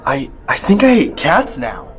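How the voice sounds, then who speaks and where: Dazed, animated boyfriend played by a man. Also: a little high, a little slow, soft background music. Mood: dazed, cheerful. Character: dazed, dim young boyfriend in a hospital bed. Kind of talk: student radio play acting.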